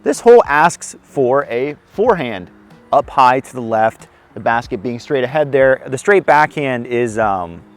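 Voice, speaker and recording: Slightly hoarse young man, casual outdoor vlog. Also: medium pitch, very animated, measured pace, faint music behind. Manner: friendly, self-deprecating, explanatory. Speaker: disc golf video host. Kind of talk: casual tips vlog filmed on the course. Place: outdoors on a foggy disc golf course.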